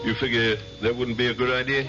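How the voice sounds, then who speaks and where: An American actor playing a chatty, obliging, easygoing bartender in a radio drama, with folksy, agreeable talk. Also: male voice, mid-range voice, little pitch movement, fast talker, close to an everyday voice. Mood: friendly, obliging. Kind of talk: 1970s radio drama acting. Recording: dull old radio, music behind.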